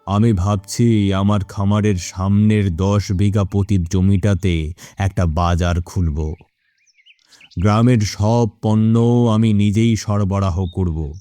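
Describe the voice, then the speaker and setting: A man playing a greedy, scheming, cruel village boss in an animated moral story, speaking in a smug, gloating, deliberate manner. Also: lowish voice, no room sound. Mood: smug, calculating.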